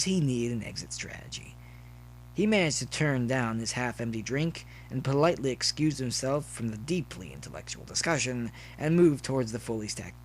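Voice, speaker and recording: A man's voice: mid-range voice, poor audio. A man reading scripted story narration as the narrator. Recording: faint noise behind the voice.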